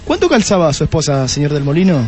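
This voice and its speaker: An actor playing a shrewd, testy police detective in a radio play, with an Argentine accent and a deliberate way of questioning. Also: male voice, on the high side, big pitch swings, darkish tone, soft background music. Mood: probing, insinuating. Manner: deliberate, repeats answers back pointedly. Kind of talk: Spanish-language radio play acting.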